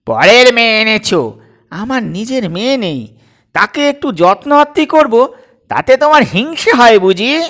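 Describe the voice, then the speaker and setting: A man voicing a fussy, irritable but doting old father in a comic audio story, scolding with emphatic, drawn-out delivery. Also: very high-pitched, big pitch swings, fairly bright tone, voice pushed up, heavily acted. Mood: irritated.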